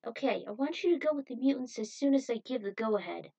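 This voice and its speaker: A woman's voice: a little high, lively intonation, fast talker, gravelly, warm tone. A woman voicing a young male squad leader who gives urgent, encouraging orders in an action story. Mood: commanding, encouraging. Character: commanding young male squad leader rallying his troops.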